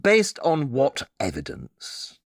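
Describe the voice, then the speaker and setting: A man's voice: medium pitch, wildly swooping pitch, takes it slowly, vocal fry, exaggerated voice. A man playing a blunt, sceptical detective in an audio drama, with a dry wit and theatrical manner.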